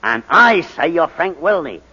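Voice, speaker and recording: Echoey muffled old radio drama, a sneaky blackmailer man, wheedling and taunting, pitch all over. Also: big pitch swings, a little slow, sharp tone, breathy edge, exaggerated voice. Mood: sly, wheedling. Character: sly, insinuating male blackmailer, falsely genial. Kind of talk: 1940s radio drama acting. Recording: muffled, reverberant 1941 radio broadcast.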